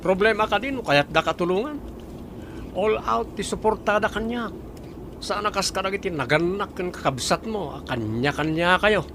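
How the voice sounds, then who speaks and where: An actor with an Ilocano accent playing a spoiled, boastful and touchy young boyfriend in a radio drama. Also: male voice, high voice, animated, moderate pace. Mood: defensive. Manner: boastful, petulant. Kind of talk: Filipino radio drama acting. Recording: noisy, vehicle sound behind.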